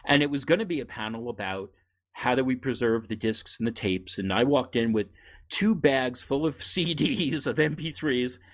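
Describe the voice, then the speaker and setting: A middle-aged man co-hosting a podcast, chatting casually and telling stories in an animated way, acting out what people said. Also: mid-range voice, moderate pace. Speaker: podcast co-host. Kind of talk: casual chat, storytelling.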